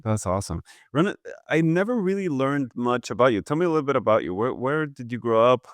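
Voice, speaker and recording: A man hosting a podcast, chatting with a guest in a relaxed, informal way, and swearing now and then. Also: medium pitch, quick pace, no room sound. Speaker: podcast host, vascular surgeon. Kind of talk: casual interview conversation.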